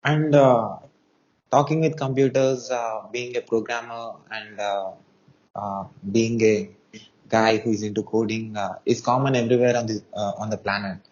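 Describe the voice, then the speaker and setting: A man's voice: lowish voice, fairly even intonation, moderate pace, darkish tone, lo-fi sound. A man hosting an interview, asking questions hesitantly with many fillers. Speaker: interviewer, host.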